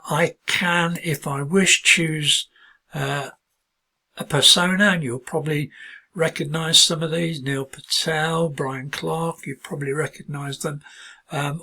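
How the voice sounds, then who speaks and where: Bright, husky British man demoing software. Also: mid-range voice. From United Kingdom. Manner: relaxed, halting, thinks aloud with many ums and restarts. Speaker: software tutorial presenter. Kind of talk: screen-recorded software walkthrough.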